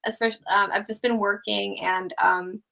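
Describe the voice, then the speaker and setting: Young woman, raised in Thailand, casual rambly guest, vocal fry throughout. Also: medium pitch, moderate pace, rough recording. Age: young adult. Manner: relaxed, rambling, many "like" and "um" fillers. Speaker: guest, small YouTuber. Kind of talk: casual interview chat.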